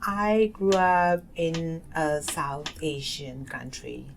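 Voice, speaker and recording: A woman's voice: sits low, fairly even intonation, slow, gravelly, background noise. A woman in her thirties from South Asia, a native Hindi speaker, giving hesitant interview answers with many fillers and restarts. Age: 30s. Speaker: oral history narrator. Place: community center room.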